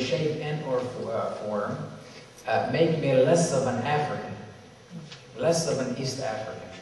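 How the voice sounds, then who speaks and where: A man from Somalia giving a religious lecture to an audience, speaking in a measured, repetitive way with slow emphasis and Arabic recitations. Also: medium pitch, a little mellow, a little hiss or hum. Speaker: symposium speaker. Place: large hall with audience. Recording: echoey hall from far off.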